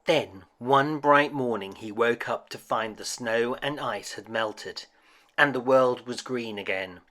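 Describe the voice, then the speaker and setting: A man reading a children's picture book aloud as a storyteller, with an expressive, deliberate delivery. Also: mid-range voice. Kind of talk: scripted children's story narration.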